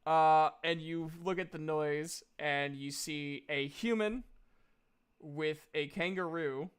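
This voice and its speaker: A game master narrating a tabletop fantasy game in a halting, conversational way. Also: mid-range voice, clear, resonant tone.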